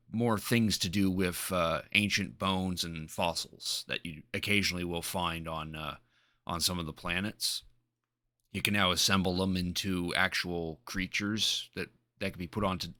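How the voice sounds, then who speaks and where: A man chatting casually as a live streamer, speaking in a hesitant, halting way and often repeating his words. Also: deep voice, sharp tone, dry recording.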